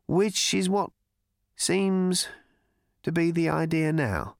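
A man's voice: a little high, slow, vocal fry, no room sound, clean studio sound, voice pushed up. A man reading aloud, voicing a thin, anxious young male cricketer who speaks hesitantly and trails off.

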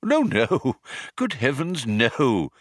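A man's voice: a little high, wildly swooping pitch, measured pace, a bit bright, no echo, clean studio sound, pitched above the performer's own voice, exaggerated voice. A man voicing an elderly alien planet builder in an audiobook, genial, polite, mildly vague and cheerfully understated. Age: older. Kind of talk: audiobook character voice.

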